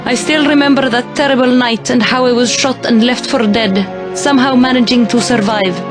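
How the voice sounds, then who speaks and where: A woman's voice: a little high, fairly even intonation, brisk, lightly acted. A woman playing a tender, determined long-lost princess sister in a dramatic video game cutscene. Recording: soft music behind the voice.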